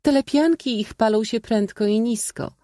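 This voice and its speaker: A woman's voice: on the high side, normal speed, warm tone, dry recording, clean studio sound, voice pushed up, natural voice. A man voicing a haughty, callous aristocratic lady in an audiobook story. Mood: disdainful.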